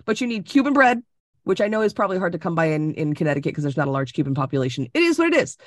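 A woman's voice: on the low side, racing, no echo. Woman from Florida, podcast co-host, emphatic and profane, dry close sound on a video call. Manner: animated, emphatic, swears freely. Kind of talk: casual chat over video call.

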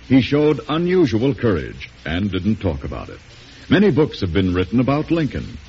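Husky, animated old-time radio announcer, male. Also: medium pitch. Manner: formal, promotional. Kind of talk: 1940s radio announcing, scripted narration. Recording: dull old radio recording.

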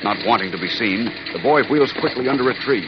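A man's voice: medium pitch, lively intonation, fast talker, heavy background noise. A man narrating a 1940s radio serial as announcer, with dramatic, urgent delivery. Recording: muffled old radio recording.

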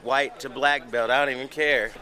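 A man's voice: medium pitch, steady tone, normal speed, sharp tone. A man in his forties talking casually to a camera. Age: 40s.

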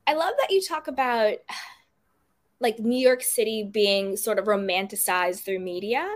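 A woman's voice: medium pitch, a little slow. A woman hosting a podcast interview, talking in a chatty, upbeat way with plenty of "like" and "you know". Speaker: podcast host. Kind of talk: casual interview chat.

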